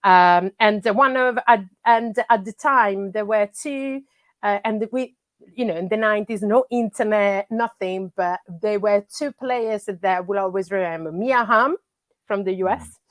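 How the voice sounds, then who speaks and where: A woman's voice: medium pitch, a little slow, dry recording. A middle-aged Italian woman, a soccer coach, talking in a podcast interview with many fillers and restarts. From Italy.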